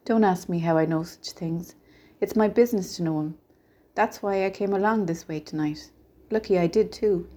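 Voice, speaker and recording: A woman's voice: lowish voice, normal speed, warm tone, lightly acted. A woman voicing a kindly, mysterious little male peddler in a storybook, patient, coaxing and cheerfully reassuring. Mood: gentle, knowing.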